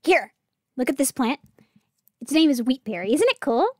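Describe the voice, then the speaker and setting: A woman's voice: high voice, sing-song, normal speed, darkish tone, dry recording, clean studio sound. A woman playing a naive, earnest young girl herbalist in a fantasy audio drama. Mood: bubbly, eager. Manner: childlike, earnest, guileless.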